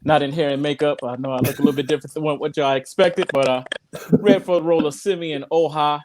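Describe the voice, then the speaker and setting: A man's voice: a little high, fairly even intonation, fast, background noise. A man introducing himself as a cast member in a casual, jokey way. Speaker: cast member of a virtual table read.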